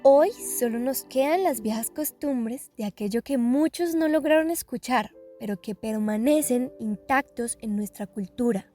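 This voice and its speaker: A woman's voice: a little high, mellow. A woman with a Colombian accent reading scripted narration for a radio documentary.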